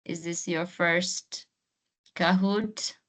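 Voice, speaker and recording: A woman's voice: lowish voice, steady tone, drawn-out words, a bit bright. A woman leading an online class as host and trainer, speaking informally and encouraging participants to join in. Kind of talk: informal webinar presenting to participants. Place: online video call.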